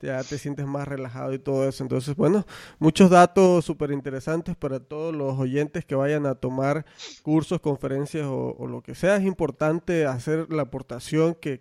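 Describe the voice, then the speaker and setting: A man's voice: on the high side, a little mellow. A man hosting a podcast, chatting casually, agreeing with his guest and asking questions. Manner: friendly, agreeing, asks questions. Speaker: podcast host.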